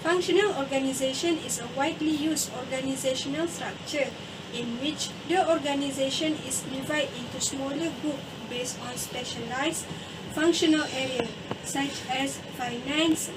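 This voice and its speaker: High-voiced young woman reading notes. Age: 20s. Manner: steady, read-aloud delivery. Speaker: student presenter. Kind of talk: reading a class presentation. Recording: distant mic with noise.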